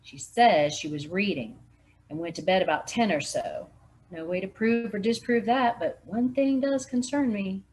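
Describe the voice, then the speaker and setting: A woman's voice: medium pitch, moderate pace, a little mellow, slight background noise, natural voice, poor audio. A woman reading aloud, voicing a weary, guarded male lawman who speaks matter-of-factly.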